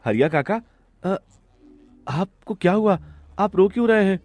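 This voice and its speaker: A man's voice: high voice, expressive, measured pace, darkish tone, faint music behind. A man voicing a studious, trusting young village son who speaks politely and earnestly in a cartoon story. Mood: concerned.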